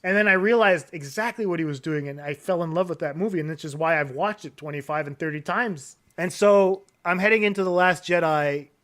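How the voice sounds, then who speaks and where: A man's voice: on the high side, a little fast, hardly any pauses, a bit bright. A middle-aged man hosting a casual film podcast, chatting informally and swearing freely. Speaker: podcast host.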